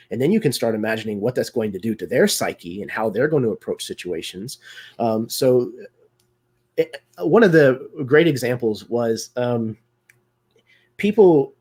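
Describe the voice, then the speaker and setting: A man appearing as a guest performer on a video call, speaking thoughtfully with many pauses about roleplaying games. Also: medium pitch, a little mellow, husky. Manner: thoughtful, lots of um fillers. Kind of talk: casual discussion of roleplaying games.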